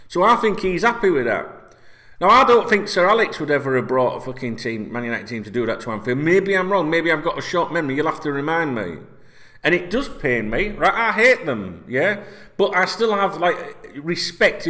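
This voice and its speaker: A blunt man in his forties with a Yorkshire accent, talking straight into a camera mic from a small indoor room. His voice sits high for a man and rings bright and sharp. He swears freely and keeps pushing the listener with "right?" and "you know what I mean?". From North Yorkshire, England. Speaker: football vlogger. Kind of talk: casual opinion rant to camera. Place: small indoor room, seated in red chair.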